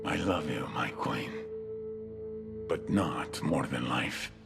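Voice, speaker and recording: Very deep, gravelly cartel boss. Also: male voice, slow pace, over music, heavily acted. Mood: tender menace. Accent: Latin American Spanish. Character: brooding, menacing male cartel boss, paternal and ruthless. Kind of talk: cinematic video game acting.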